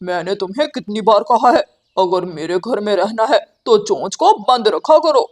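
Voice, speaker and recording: A woman voicing a bossy, scheming male crow husband with a loud, scolding manner in a children's cartoon. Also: medium pitch, expressive, normal speed, pitched below the performer's own voice, lightly acted. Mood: angry, scolding.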